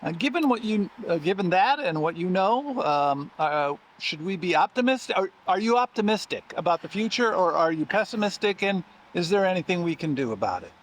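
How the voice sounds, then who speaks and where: Man, interviewer, high pitch, hesitant, filler-heavy questions. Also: sing-song, a little hiss or hum. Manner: halting questions full of "uh" and "um". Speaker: oral history interviewer.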